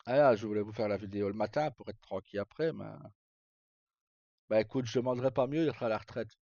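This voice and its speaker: Low-voiced Frenchman streaming, roomy dull sound. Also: quick pace, warm tone. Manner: colloquial and sweary, talks in short, broken-off phrases. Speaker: live streamer. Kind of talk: casual, unscripted livestream chat to viewers. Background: faint game music.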